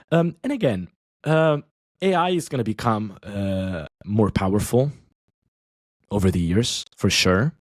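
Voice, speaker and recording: Low-voiced man talking in quick bursts. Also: breathy edge, no room sound, studio quality. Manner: talks in quick bursts with frequent restarts. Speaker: podcast guest, online language teacher. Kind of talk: casual podcast conversation.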